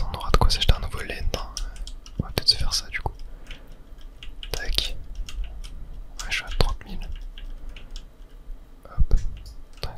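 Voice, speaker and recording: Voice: extremely high pitch, bright, forceful tone. A video host gives ASMR gaming commentary entirely in a whisper. The delivery is casual and unplanned, murmuring each thought aloud and dotting it with tiny filler words.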